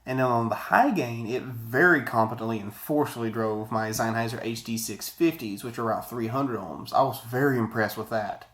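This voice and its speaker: An American man in his thirties with a mid-pitched voice talks through an audio gear review. He is conversational and loose, doubling back on words to stress a point. He sounds like he is at a desk in a small office, speaking to a camera. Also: brisk, hardly any pauses, fairly bright tone. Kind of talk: casual video product review. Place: small office room indoors.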